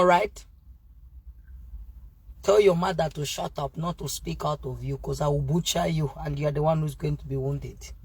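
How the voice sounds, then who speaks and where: A woman's voice: low-pitched, a bit bright. A woman preaching on a livestream in a confrontational, scolding way, mixing in Pidgin and repeating herself, recorded at a distance from the microphone. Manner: mixes in Pidgin. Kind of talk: fiery informal sermon, answering live comments.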